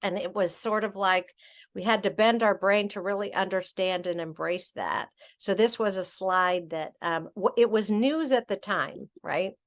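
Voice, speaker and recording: A woman's voice: medium pitch, unhurried. Chatty woman trainer presenting slides. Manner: chatty, many fillers and self-corrections.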